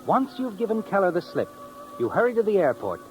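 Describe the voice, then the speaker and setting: A man's voice: sits high, big pitch swings, a little mellow, poor audio. Ominous 1950s radio mystery narrator, male. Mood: ominous, knowing. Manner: ominous, knowing, dramatic pauses, taunting rhetorical questions. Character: knowing, taunting male narrator addressing the doomed schemer. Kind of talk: 1950s radio drama narration, second person. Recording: old radio recording with music behind.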